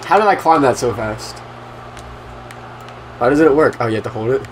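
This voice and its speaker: Young man, gaming host, sarcastic banter, animated pitch, faint room noise. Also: moderate pace. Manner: sarcastic, laughing, trash-talking. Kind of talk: casual let's play banter.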